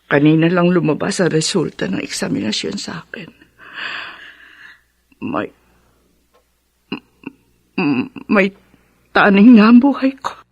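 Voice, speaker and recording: An actress playing a gentle, gravely ill mother in a Filipino radio drama, halting and emotional, with a Filipino accent. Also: on the low side, expressive, dry recording, studio quality, heavily acted. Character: gentle, gravely ill mother breaking news to her daughter.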